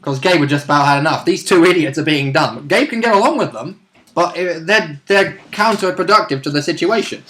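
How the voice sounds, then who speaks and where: A man with a somewhat higher-pitched voice talks casually as a role-playing player. He explains details in an informal, corrective way. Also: animated, a little fast, bright, forceful tone. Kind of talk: casual chat.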